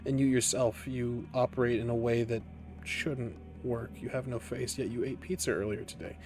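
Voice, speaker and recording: A man acting as game master, improvising narration with deliberate, dramatic phrasing and pauses. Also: mid-range voice, steady tone. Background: faint music.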